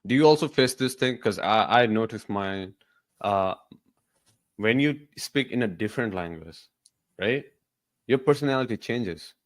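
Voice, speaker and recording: Low-voiced man, chatty podcast host. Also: expressive, a little slow, no room sound. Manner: chatty, asks follow-up questions. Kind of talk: casual podcast conversation.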